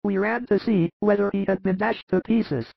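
A man voicing excited, amazed male disciples who tell their story eagerly, with a gravelly edge. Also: high voice, normal speed, no echo, pitched above the performer's own voice, full character voice. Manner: eager, wondering, breathless telling. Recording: muffled dry recording.

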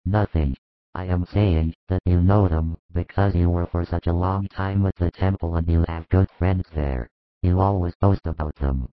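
A man voicing a meek, quiet male apostle who speaks mildly and plainly. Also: sits low, fairly even intonation, normal speed, clear, resonant tone, dry recording, phone-quality sound, voice pushed down, natural voice. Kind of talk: audiobook reading.

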